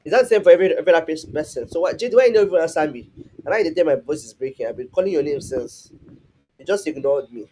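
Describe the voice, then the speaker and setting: Guy with a husky voice, teaching a class over a call, keeps restarting his sentences. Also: fairly high pitch, animated, fast talker, a little mellow, slight background noise. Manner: rambling, with many restarts and fillers. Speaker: online class instructor. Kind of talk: live online class. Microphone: online call.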